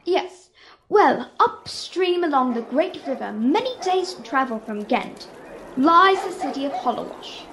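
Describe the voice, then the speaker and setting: A woman's voice: high voice, very animated, a little slow, a bit bright, slight background noise, heavily acted, rough recording. A young girl acting in a fantasy radio serial as a theatrical storyteller and kindly character. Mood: storytelling. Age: child, 10 to 13. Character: grand fantasy storyteller who also plays kindly tavern keeper. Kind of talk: scripted narration, radio serial.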